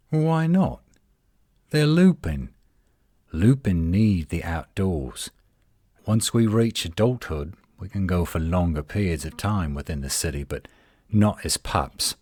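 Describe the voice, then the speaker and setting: A man playing a cocky, sardonic werewolf guardian in a roleplay audio, teasing and flirtatious, with a husky, drawling delivery. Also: low-pitched, a little mellow, dry recording, studio quality, full character voice. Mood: indignant, insistent. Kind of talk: roleplay audio acting, one side of a dialogue.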